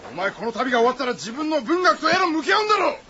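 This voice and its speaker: An actor playing a gruff, rough-tongued, self-sacrificing adventurer in a radio drama, with coarse and blunt speech. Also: male voice, very high voice, big pitch swings, rapid-fire, slight background noise, exaggerated voice. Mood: desperate shouting. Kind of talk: Japanese radio drama acting. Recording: dull band-limited audio under loud music.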